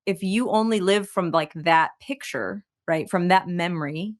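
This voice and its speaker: A woman from the United States, a singer-songwriter guest in a conversational interview, talking in an animated way as she searches for her words. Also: fairly low pitch, a little slow. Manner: animated, searching for words, many fillers and restarts.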